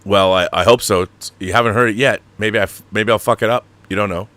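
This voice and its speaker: A man with a low, sharp-edged voice talks casually as a show host. He rambles through explanations in animated, slangy language. The sound is dry and close. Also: fast talker, no room sound. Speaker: show host and audio drama director. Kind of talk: casual livestream chat.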